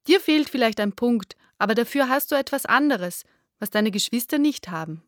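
A man voicing a kind, motherly female grasshopper in a children's story, in a gentle, raised voice. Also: expressive, normal speed, dry recording, studio quality. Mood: tender. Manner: gentle, high put-on voice.

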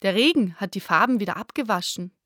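A man voicing a kind, motherly female grasshopper in a children's story, in a gentle, raised voice. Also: lively intonation, quick pace, no room sound, studio quality, heavily acted. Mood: tender. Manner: gentle, high put-on voice.

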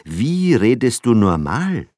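A man's voice: mid-range voice, very animated, takes it slowly, a little mellow, no echo, studio quality, pitched above the performer's own voice. A man voicing a curious young boy who asks plain, earnest questions.

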